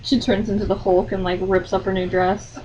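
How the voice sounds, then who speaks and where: A woman's voice: mid-range voice, flat delivery, normal speed, a little mellow, recorded from a distance, muffled recording. Off-mic woman, faint noise, casual comic commentary, sarcastic. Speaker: podcast host.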